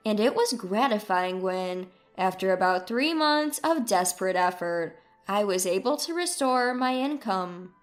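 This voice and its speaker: A woman's voice: mid-range voice, animated, measured pace, hardly any pauses, soft background music. A woman reading a scripted first-person story as its narrator.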